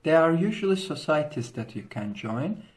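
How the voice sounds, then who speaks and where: A man's voice: on the high side, very animated, moderate pace. A young man with an Azerbaijani accent, giving a scripted informational presentation as a student host, with formal, careful phrasing. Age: young adult.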